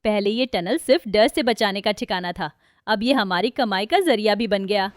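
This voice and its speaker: A woman's voice: medium pitch, moderate pace, faint music behind, close to an everyday voice. A woman voicing a bold village woman who speaks with defiant earnestness for her children. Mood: earnest.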